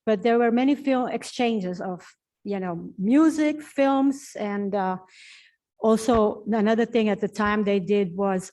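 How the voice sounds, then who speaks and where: A woman's voice: mid-range voice, warm tone. A woman with a Portuguese tongue presenting a book she translated, talking in a chatty way with many fillers and restarts. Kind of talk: informal book launch talk. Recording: distant mic.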